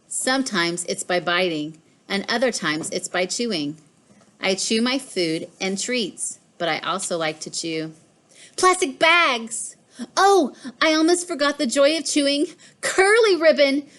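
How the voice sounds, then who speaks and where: A woman's voice: fairly high pitch, animated, a little slow, fairly bright tone, voice pushed up. A woman voicing a smug, mischievous pet cat in a storybook, with a boastful, emphatic delivery. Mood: smug, playful. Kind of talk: storybook read-aloud.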